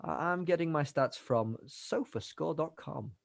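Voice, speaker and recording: A man's voice: on the high side, lively intonation, measured pace, creaky, no room sound, clean studio sound. A man co-hosting a live football watch-along, casually analysing players and reading out match stats.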